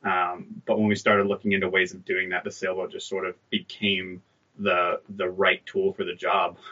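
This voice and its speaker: A man's voice: sits low, completely flat delivery, moderate pace, sharp tone. A man in his thirties, a guest telling stories in an easygoing, self-deprecating way. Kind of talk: conversational interview. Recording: dull remote line.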